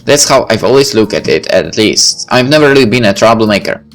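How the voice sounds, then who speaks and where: A man voicing a reserved, laid-back teenage schoolboy in a visual novel, low-key and noncommittal, with faint music behind. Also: expressive, fairly quick. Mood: low-key, hesitant. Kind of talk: visual novel character read-aloud.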